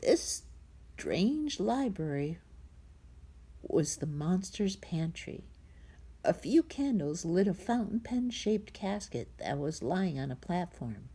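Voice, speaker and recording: A woman reads a children's storybook aloud with very lively, swooping intonation. Her voice is husky and soft-edged, and she takes her time, leaning into suspense with hushed, breathy drops. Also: on the low side, slow pace. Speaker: storybook reader.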